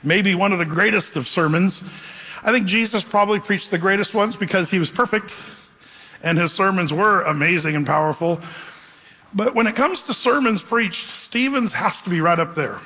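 Pastor, middle-aged man, bright husky voice, rambling storyteller, reverberant hall. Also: high voice, moderate pace. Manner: casual, rambling, fillers like "man" and "you know". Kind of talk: sermon with storytelling. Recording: long hall reverb, faint music.

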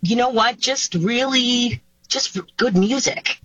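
A woman, a singer taking part in an interview over a video call, answering casually with animated pitch swoops and many restarts. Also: takes it slowly, dry recording. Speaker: singer, guest. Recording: dull, dry video call audio.

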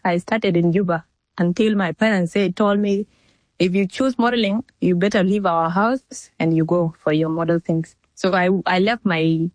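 A woman's voice: on the low side, steady tone, normal speed, darkish tone, no echo, rough recording. A nineteen-year-old woman with a South Sudanese accent answering interview questions frankly, repeating phrases for emphasis. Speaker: fashion model, interviewee.

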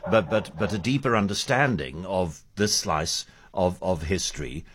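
Deep-voiced, husky male host who searches for words while questioning. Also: a bit bright. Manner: halting, rephrases questions aloud. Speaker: interviewer. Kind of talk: interview questions.

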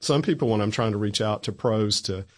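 An older man from Tennessee with a bass voice, chatting in a relaxed, folksy way as an interview guest. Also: brisk. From Tennessee, USA. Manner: relaxed, folksy, good-humored. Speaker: guest, newspaper columnist. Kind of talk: casual interview chat.

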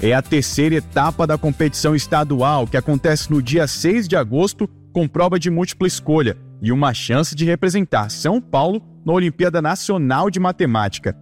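A man narrates a news feature as a voice-over in a measured way. His voice is somewhat high for a man. Soft music plays underneath. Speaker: news reporter.

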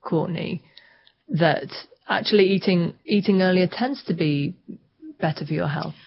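A woman radio presenter asking guests brisk questions. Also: lowish voice, gravelly, slightly rough. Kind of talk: radio interview hosting. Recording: dull lo-fi broadcast sound.

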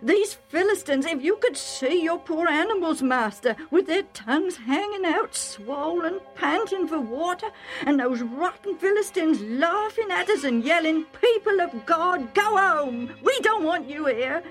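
A woman voicing an excitable male herdsman with rustic working-class speech, agitated and insistent, pleading with his master. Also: very high voice, a little slow, soft background music, voice pushed up.

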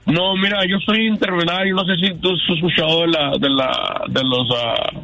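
A man in his fifties with a Mexican accent, giving personal testimony as a radio caller in a halting, earnest, repetitive way. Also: on the high side, fairly even intonation, creaky, over music, poor audio. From Canada. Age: 50s.

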